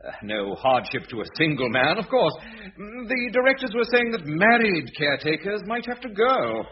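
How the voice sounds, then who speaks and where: Pompous boss type, chuckles at his own jokes, highish voice, dull old radio. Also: sing-song, moderate pace. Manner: formal, self-satisfied, chuckling. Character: pompous middle-aged male boss, oblivious. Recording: muffled old radio recording.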